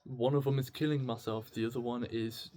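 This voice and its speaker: A man's voice: medium pitch, fairly even intonation, warm tone. A British man speaks off the cuff, as if into a personal video diary, with faint music playing behind him. His delivery is casual and confessional. He rambles and halts, restarting thoughts and putting himself down as he goes. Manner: rambling, halting, self-deprecating. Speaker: video diarist. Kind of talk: casual unscripted confessional monologue.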